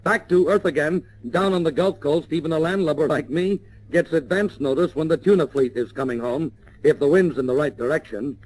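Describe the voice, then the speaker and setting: A man's voice: fairly high pitch, fairly quick, darkish tone, rough recording. An American man reading scripted promotional narration in the style of the late 1950s.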